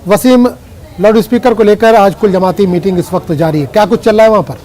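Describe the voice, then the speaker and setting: A man anchoring a news broadcast, reading updates and putting questions to a reporter in a formal, measured way. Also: sits high, mellow, a little hiss or hum.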